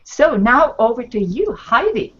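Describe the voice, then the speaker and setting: A woman from Sweden opening a show, speaking in a measured, deliberate way over a video call. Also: fairly high pitch, big pitch swings, slightly rough, lo-fi sound. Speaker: life and business mentor, host. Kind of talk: scripted show intro. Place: home in Canada.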